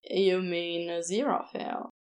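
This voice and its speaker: A woman's voice: lowish voice, steady tone, unhurried, a little mellow, pitched below the performer's own voice, natural voice. A woman voicing a grumpy, smitten, defensive male florist in an audiobook story, curt and exasperated. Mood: stunned.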